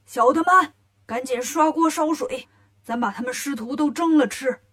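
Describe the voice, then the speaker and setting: A woman's voice: high voice, moderate pace, lightly acted. Woman voicing a bossy male lion demon chief. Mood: commanding.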